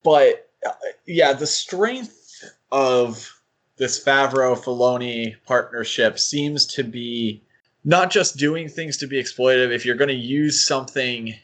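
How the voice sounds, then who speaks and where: A man's voice: medium pitch, takes it slowly, a bit bright. A man co-hosting a podcast, thinking aloud in a casual fan discussion and often qualifying what he says. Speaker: podcast co-host.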